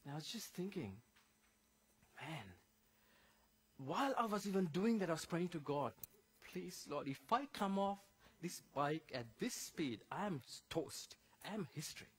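A man's voice: sits high, animated, measured pace, slightly rough. A middle-aged man from Sri Lanka giving a conversational health talk at a church seminar, explaining medical terms simply.

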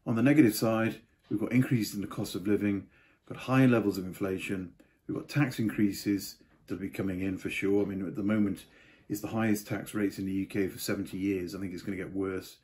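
A man's voice: lowish voice, fairly even intonation, fairly quick, darkish tone. An older man giving a casual market update to camera from a home study, with offhand asides. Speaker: property market commentator.

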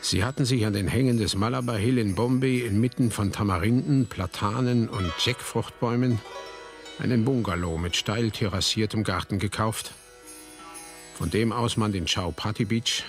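A man's voice: fairly low pitch, normal speed, soft background music. A man narrating a literary radio drama from a script.

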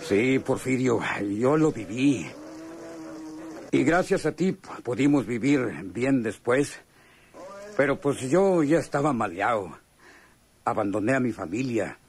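An actor playing a brooding, unhinged killer in prison, with a rural Mexican accent, in a radionovela. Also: male voice, mid-range voice, animated, measured pace, pauses often, a little mellow. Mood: weary confession. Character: brooding, unhinged male convict with a killer's urge. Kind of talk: radionovela acting.

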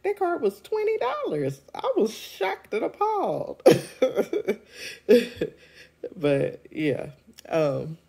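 A deep-voiced woman, congested from a cold, chats through a review into a phone held at arm's length. Her tone is dark and mellow, and she talks loosely with plenty of ums and quick laughs. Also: wildly swooping pitch, vocal fry, husky. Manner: chatty, laughs often, many ums. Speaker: show reviewer. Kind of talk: casual vlog review. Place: indoors at home.

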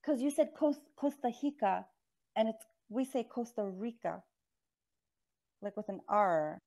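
A woman from the United States tutors English over a video call, curious and patient and quick to laugh. Her voice is high and swings widely in pitch. She talks through an earphone mic. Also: expressive, warm tone. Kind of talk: online tutoring chat.

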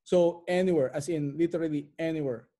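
A man's voice: on the high side, steady tone, fairly quick, warm tone. A middle-aged man answering interview questions conversationally as a guest, repeating phrases for emphasis. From immigrant to Canada. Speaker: interview guest, real estate investor. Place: bright living room at home.

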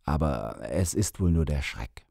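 Man voicing a gentle, helpless father. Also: a bass voice, lively intonation, a little slow, creaky, breathy edge, no echo, studio quality, full character voice. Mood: apologetic.

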